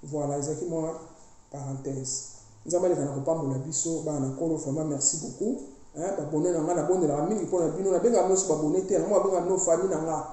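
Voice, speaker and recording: A woman's voice: sits low, steady tone, fast talker, dark tone. A woman, preaching as a priestess to her online followers in an emphatic, insistent way. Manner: emphatic, preachy, mixes languages freely. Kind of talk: spiritual exhortation to followers. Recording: very echoey room.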